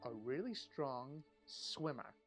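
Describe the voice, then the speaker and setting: A man voicing animated cartoon and puppet characters in an amateur fandub, with music behind him. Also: mid-range voice, slow, gravelly, heavily acted. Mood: chatty. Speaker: amateur fandub voice actor and singer. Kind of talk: fandub character acting and song covers.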